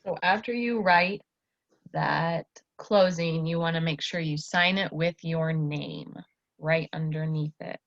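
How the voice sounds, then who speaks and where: Low-voiced young woman teaching, echoey room. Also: steady tone, slow pace. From Iowa, United States. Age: early 20s. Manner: encouraging, praising. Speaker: student teacher. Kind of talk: one-to-one lesson with a child. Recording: dull, echoey lo-fi room recording.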